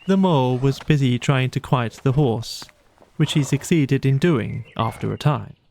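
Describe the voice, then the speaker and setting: A man narrating a bedtime storybook in a measured, expressive storytelling manner. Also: medium pitch, vocal fry, a little hiss or hum. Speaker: audiobook narrator.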